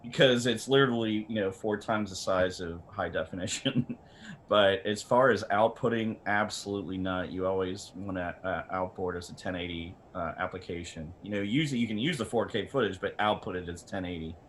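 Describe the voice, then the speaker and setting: A man explaining technical matters informally as a panel expert, haltingly and repeating words, on a video call with some noise. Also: low voice, fairly bright tone.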